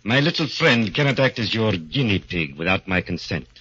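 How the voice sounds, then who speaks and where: A man voicing a cruel, domineering strongman who speaks with cold, slow menace in an old radio drama. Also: on the low side, very animated, sharp tone, husky, heavily acted. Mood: menacing. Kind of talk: 1940s radio drama acting. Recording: dull old radio recording.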